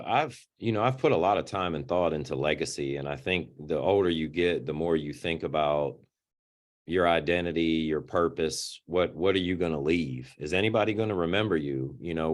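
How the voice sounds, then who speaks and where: A middle-aged man with a deep voice, speaking as an interview guest in an earnest, reflective way and often repeating words as he starts a sentence. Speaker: interview guest, youth organization founder.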